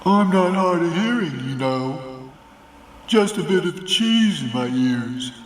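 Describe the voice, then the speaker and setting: A man's voice: fairly high pitch, animated, echoey room, a little hiss or hum, voice pushed up, poor audio. A man doing a sleepy, deaf old Moon. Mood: confused grumbling. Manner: drowsy, mishearing, slow drawl.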